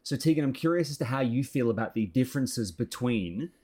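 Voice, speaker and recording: A man's voice: medium pitch, lively intonation, brisk, mellow, breathy edge. A man hosting a conversational podcast interview, speaking emphatically and addressing listeners directly. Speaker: podcast host.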